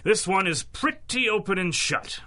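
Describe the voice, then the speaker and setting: A man's voice: high-pitched, lively intonation, moderate pace, pushed and bright. A man acting an exasperated, sardonic senior policeman with a dry, commanding manner in a 1930s-style radio drama. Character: exasperated, sardonic veteran police officer in charge.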